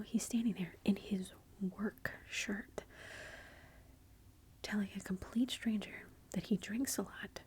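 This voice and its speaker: A woman, whispering a rambling, amused story in ASMR style. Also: mid-range voice. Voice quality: soft whisper. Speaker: ASMR vlogger.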